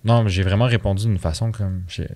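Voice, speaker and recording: Candid low-voiced Quebec man chatting. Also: fairly even intonation, fast, a little mellow. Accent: Quebec French. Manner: candid, slangy, peppers French with English words. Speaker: podcast guest. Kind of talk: casual podcast chat.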